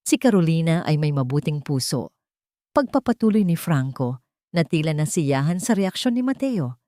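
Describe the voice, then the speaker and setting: Woman narrator for a romance drama: low, animated, expressive delivery, dry booth sound. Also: normal speed, dry recording. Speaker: storyteller.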